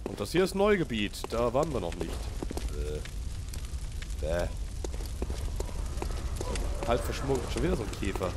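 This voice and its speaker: Man, low voice, slight cold, sniffly, chatty gameplay commentary, heavy background noise. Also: big pitch swings, a little slow, long pauses. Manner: chatty, talks to viewers, reacts aloud. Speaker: let's play gamer.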